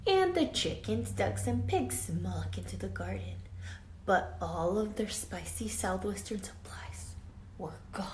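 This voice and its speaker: A woman reading a children's picture book aloud expressively, with dramatic emphasis and hushed asides, pausing to question young listeners like a teacher. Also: lowish voice. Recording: roomy echo with faint background noise.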